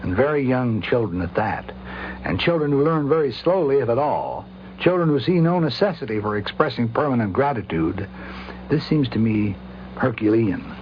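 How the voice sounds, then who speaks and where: Mid-pitched man, hesitant formal commentary, muffled old radio with some hum. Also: animated. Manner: hesitant, halting, formal wording. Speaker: guest doctor commenting. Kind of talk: reflective spoken commentary. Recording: noisy, humming, dull old radio recording.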